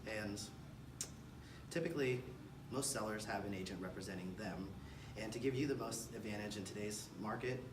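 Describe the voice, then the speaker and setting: Distant, echoey low-voiced American man presenting. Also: moderate pace. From Kansas City, United States. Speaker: real estate agent presenting a seminar. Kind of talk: seminar presentation. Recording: distant mic, roomy echo, clear background noise.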